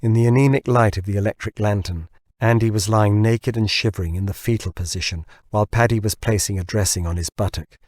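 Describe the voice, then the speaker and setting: A man's voice: fairly low pitch, fairly quick, a little mellow, no echo, clean studio sound. A man narrating scripted fiction as an audiobook reader.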